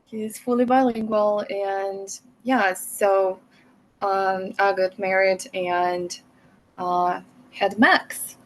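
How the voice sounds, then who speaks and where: Soft-spoken woman with a Russian accent. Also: medium pitch, flat delivery, extremely slow, slight background noise, poor audio. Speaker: podcast guest, mother. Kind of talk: conversational interview storytelling.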